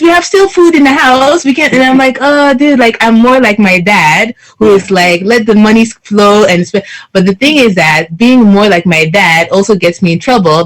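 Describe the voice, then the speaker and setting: Male panelist, high voice, lively, chatty, does little impressions. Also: low-quality recording. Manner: lively storyteller, mimics his parents. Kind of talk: casual discussion.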